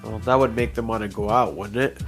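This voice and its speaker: A man's voice: fairly low pitch, steady tone, a little fast, a bit bright, faint music behind. A man playing a tabletop game, chatting casually with the other players.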